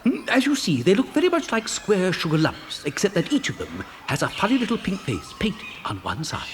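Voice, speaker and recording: A gleeful, eccentric factory owner in a children's audio drama. His high voice leaps wildly about as he hesitates and flusters like a mischievous showman. There is faint hiss on the recording. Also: huge pitch swings, brisk, fairly bright tone, heavily acted. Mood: showing off.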